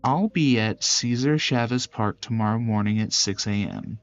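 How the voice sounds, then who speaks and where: A man's voice: lowish voice, normal speed, no room sound, highs cut off, faint music behind, lightly acted. A man voicing a confident, bossy young female fight trainer in a visual novel, commanding and teasing.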